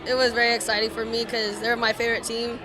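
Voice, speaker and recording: A speaker talking plainly at a busy outdoor event. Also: male voice, very high-pitched, monotone, fast talker. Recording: outdoors with crowd and music behind.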